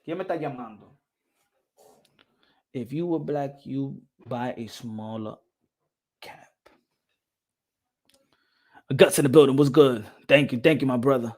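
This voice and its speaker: A man's voice: medium pitch, a little slow, long pauses. A man from the Dominican Republic hosting a boxing podcast, ranting heatedly on a live stream, reading chat, mimicking others and swearing. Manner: excitable, swears, mimics others, switches into Spanish.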